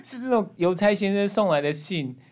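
A woman's voice: mid-range voice, expressive, fairly quick, a little mellow. A woman with a Taiwanese Mandarin accent hosting a podcast, chatting casually with a guest. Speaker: podcast host interviewing a guest. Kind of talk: casual interview chat.

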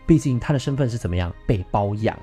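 A man's voice: on the low side, lively intonation, quick pace, mellow, soft background music. A man in his thirties with a Taiwanese Mandarin accent, hosting a podcast in a theatrical, gossipy, chatty style with bits of English. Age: 30s. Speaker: podcast host. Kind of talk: casual chatty monologue to listeners.

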